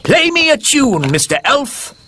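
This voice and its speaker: A man's voice: extremely high pitch, big pitch swings, a little slow, heavily acted. A British man voicing an amiable, self-assured fairy king and father in a children's cartoon.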